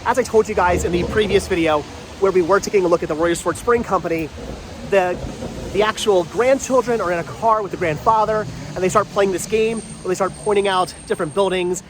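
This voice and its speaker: A man's voice: sits high, fairly quick. A man with a stuffy, congested voice from a cold, chatting enthusiastically as he walks outdoors on a rainy town street and films himself. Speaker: vlogger. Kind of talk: walking tour vlog. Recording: light street noise.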